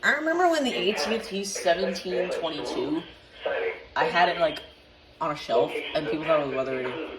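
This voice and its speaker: Woman, lowish pitch, rambling playful vlogger, background chatter. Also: animated, fairly quick, rough recording. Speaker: vlogger showing weather radios. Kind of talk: casual excitable home vlog chat.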